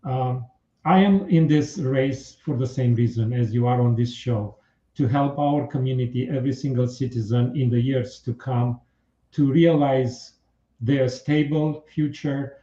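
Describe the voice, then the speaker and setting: A man from Romania with a Romanian accent, heard in a very roomy, slightly dull radio recording. His tone is dark and soft-edged. He makes a candidate's pitch in careful, halting, formal English. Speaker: political candidate, interview guest. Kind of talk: prepared political pitch in a radio interview. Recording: muffled, roomy radio interview sound.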